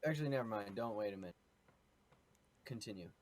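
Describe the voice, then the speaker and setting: A man's voice: lowish voice, animated, quick pace, no room sound. A man chatting casually as a podcast panelist, rambling and cutting himself off. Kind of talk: casual group discussion.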